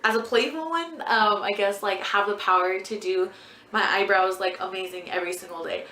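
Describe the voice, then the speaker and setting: A woman's voice: mid-range voice, moderate pace, pushed and bright. A twenty-year-old woman answering viewer questions as a beauty vlogger in a casual, rambling way, full of ums and likes. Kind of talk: casual Q&A chat. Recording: echoey room.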